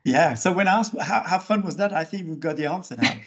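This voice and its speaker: A man's voice: a little high, brisk. A man hosting a podcast interview who asks questions in a hesitant way, with many fillers. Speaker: podcast host. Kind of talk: casual interview. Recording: dull lo-fi audio.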